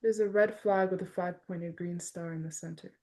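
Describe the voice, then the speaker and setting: A woman's voice: on the low side, steady tone, a little fast, a little mellow. A young woman, a college freshman, answering catechism questions promptly in a religious class. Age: young adult. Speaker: class student.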